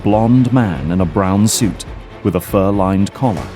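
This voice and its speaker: A man's voice: deep voice, lively intonation, unhurried, warm tone, faint music behind. A man reading scripted, dramatic third-person narration for an audio drama as its narrator.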